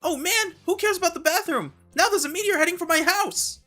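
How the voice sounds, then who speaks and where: An excitable young boy from a webcomic dub, played by a woman. The voice is high and bright, with an exasperated, exclamatory delivery. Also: fairly quick, faint music behind. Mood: flustered. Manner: exclamatory, flustered. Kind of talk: fan comic dub acting.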